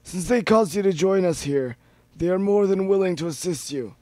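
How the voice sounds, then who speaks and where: A shriveled old pony from a fan story , as a male reader puts him on. He is an elderly fellow pitched well up high. The sound is close and dry. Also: moderate pace, darkish tone, dry recording, lightly acted. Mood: matter-of-fact. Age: older. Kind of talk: amateur dramatic reading of fan fiction.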